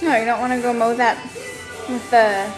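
A woman's voice: on the high side, flat delivery, normal speed, over music. Teasing woman filming in a backyard. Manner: teasing, wry commentary. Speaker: camera operator and co-host. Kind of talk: casual banter behind the camera. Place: backyard and home garage.

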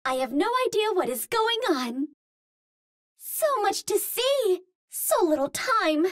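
Super high cute space girl from mars for a game, earnest n formal, kinda unhurried, dry close recording. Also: big pitch swings, dry recording, heavily acted. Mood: earnest, polite. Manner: precise, formal, earnest phrasing. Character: cute, earnest young Martian woman, eager space-age game heroine. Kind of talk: video game character voice lines.

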